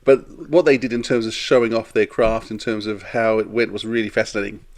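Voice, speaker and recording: A man co-hosting a podcast in casual conversation, thinking aloud in a halting way. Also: lowish voice, steady tone. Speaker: podcast co-host.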